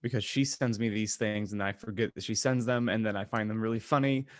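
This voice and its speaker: A man's voice: fairly low pitch, fairly even intonation, fairly quick, no echo, clean studio sound. A man co-hosting a podcast in casual, conversational chat. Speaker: podcast co-host.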